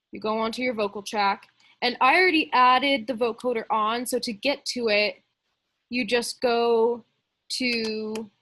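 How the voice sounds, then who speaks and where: A woman's voice: a little high, fairly bright tone. A woman teaching music production in a casual, informal way, explaining step by step and thinking aloud as she demonstrates. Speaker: music production tutorial instructor.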